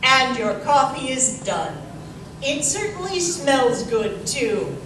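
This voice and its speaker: A woman playing an upbeat 1930s radio announcer, with a deliberate, presentational sponsor-pitch delivery. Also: big pitch swings, background noise, full character voice. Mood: salesmanlike. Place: live stage performance in a museum. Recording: echoey hall, distant mic, music behind.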